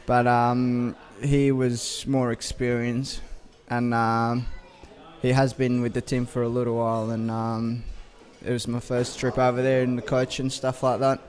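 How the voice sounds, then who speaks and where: Hesitant young footballer from Sudan. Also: male voice, medium pitch, monotone, a little slow, darkish tone. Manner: hesitant, many uh fillers and restarts. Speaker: footballer interviewee. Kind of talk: casual interview answers.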